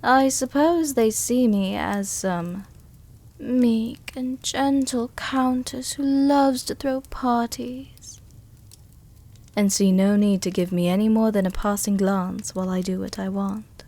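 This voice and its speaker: Woman voicing an ancient vampire countess, highish voice, very slow, intimate, formal, gently possessive, ASMR roleplay. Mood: amused, confiding. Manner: slow, intimate, formal diction with breathy whispers. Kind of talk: soft-dom roleplay ASMR.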